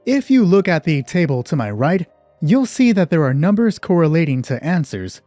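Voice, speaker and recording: A man narrating a solo tabletop horror roleplay as game master in measured, short descriptive phrases. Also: fairly high pitch, very animated, warm tone, no echo. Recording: music behind the voice. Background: music.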